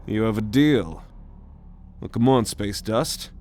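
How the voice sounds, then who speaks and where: A man's voice: lowish voice, slow, darkish tone. A man playing a cocky, commanding alien rogue with a sardonic manner in an ASMR roleplay audio drama. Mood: confident. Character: cocky male alien rogue, bold leader on a mission.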